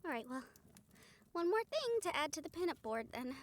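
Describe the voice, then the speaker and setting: A woman acting a friendly, supportive young woman in an audio roleplay, speaking in a gentle, earnest manner. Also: sits high, sing-song, moderate pace.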